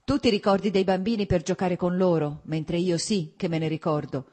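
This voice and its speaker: Woman acting a bitter, furious betrayed wife, pitched high. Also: fairly even intonation, a little mellow, close to an everyday voice. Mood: bitter reproach. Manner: shrill outbursts, cutting and hurried.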